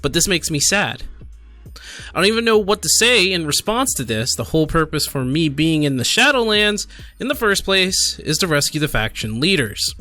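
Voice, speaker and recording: A man giving casual, unscripted gaming commentary and reading aloud, in a halting, apologetic manner. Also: high voice, sharp tone. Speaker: gaming video creator.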